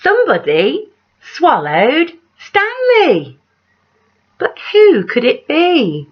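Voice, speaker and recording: A woman reading a children's picture book aloud like a teacher, dramatic and measured, putting questions to her young listeners. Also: high voice, wildly swooping pitch. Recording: dull, lo-fi sound.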